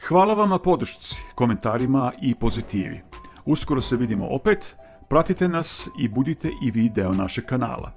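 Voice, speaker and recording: A man narrating his channel's video in an earnest, measured, formal voice-over. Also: medium pitch. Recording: faint music underneath.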